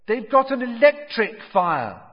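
A man plays an earnest teenage boy for an audiobook. The voice sits higher and moves around a lot, explanatory and a little hurried. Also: wildly swooping pitch, slightly rough, in a large hall, lo-fi sound, exaggerated voice. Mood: urgent.

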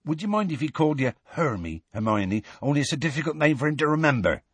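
A man voicing a big, gruff half-giant who shouts and coaxes in rustic, colloquial speech for a storybook. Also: on the high side, very animated, fast talker, no echo, voice pushed up. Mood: coaxing, presenting.

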